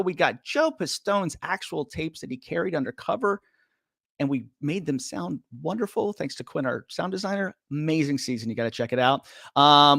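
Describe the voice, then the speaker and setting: A man's voice: a little high, a bit bright, no room sound, clean studio sound. Chatty older man hosting a podcast. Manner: upbeat, chatty, self-deprecating. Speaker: podcast host. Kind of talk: live-stream podcast wrap-up.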